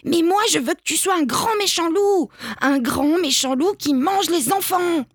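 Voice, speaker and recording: A woman's voice: very high-pitched, lively intonation, measured pace, no echo, studio quality, voice pushed up, heavily acted. A woman voicing a whiny, bossy little male goat kid in a children's storybook. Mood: demanding, petulant.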